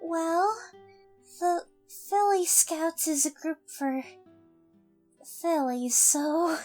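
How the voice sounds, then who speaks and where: A woman voicing a timid, gentle young pegasus pony, hesitant and apologetic, for a cartoon fan audio drama. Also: extremely high pitch, very slow, faint music behind, full character voice.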